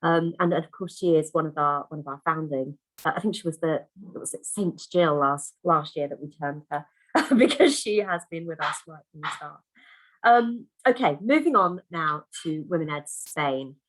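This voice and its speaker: A woman's voice: low-pitched, animated, normal speed, poor audio. A woman hosting an online conference over a video call, chatty and effusive, full of restarts and fillers. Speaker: conference host.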